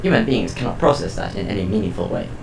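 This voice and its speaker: A man performs a calm, curious artificial intelligence with a deep, heavily creaking voice. It speaks in formal, precise sentences, yet its pitch moves expressively. The voice echoes over prominent music, as in a science fiction audio drama. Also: fast talker, heavily acted. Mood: detached, formal.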